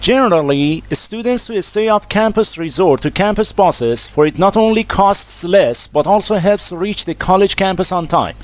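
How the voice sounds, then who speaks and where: A man's voice: high-pitched, lively intonation, moderate pace, few pauses, no echo. A man reading a scripted passage aloud as practice material.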